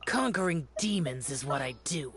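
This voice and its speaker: A woman's voice: sits low, expressive, moderate pace. A woman voicing a stern demon-fighting warrior in video game battle lines, with music and effects. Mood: stern, combative.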